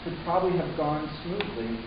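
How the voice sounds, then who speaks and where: A man playing a reflective young son who narrates his family's past in a measured, literary dramatic reading. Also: fairly high pitch, animated, lo-fi sound, background noise, natural voice. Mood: matter-of-fact. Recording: echoey, distant, music underneath. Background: music.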